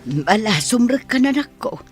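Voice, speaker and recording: A woman with an Ilocano accent acting a caring, watchful older mother with a husky voice in an audio drama. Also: fairly high pitch, very animated, normal speed, faint music behind. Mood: caring, concerned.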